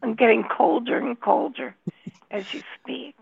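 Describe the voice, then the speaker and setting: A woman's voice: fairly high pitch, unhurried, creaky, slightly rough, dry recording. Crackly-voiced woman on a phone line. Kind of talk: casual group chat.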